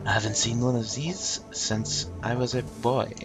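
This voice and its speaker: A man with a stuffed-up nose voicing a grave, scholarly older man who speaks in a measured way, with game music behind. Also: mid-range voice, steady tone, natural voice. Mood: grave, thoughtful.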